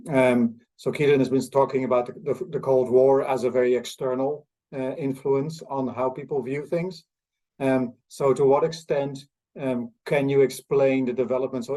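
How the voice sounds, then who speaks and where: Male academic historian, soft mellow tone, deliberate and halting, roundtable discussion delivery. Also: mid-range voice, poor audio. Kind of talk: academic roundtable question, thinking aloud.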